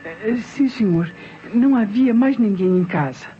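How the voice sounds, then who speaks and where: A Brazilian actress playing a deferential, loyal housemaid in a radio drama, giving a respectful and earnest account. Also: female voice, mid-range voice, lively intonation, measured pace, close to an everyday voice. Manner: respectful, earnest, narrating step by step. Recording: dull old radio recording, music behind.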